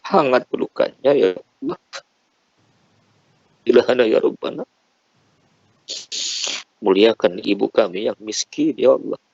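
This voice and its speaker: A man's voice: mid-range voice, lively intonation, a little slow, creaky, pauses often, darkish tone, dry recording, dull audio. A man leading a congregation in an emotional prayer, pleading fervently with repeated invocations. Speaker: prayer leader.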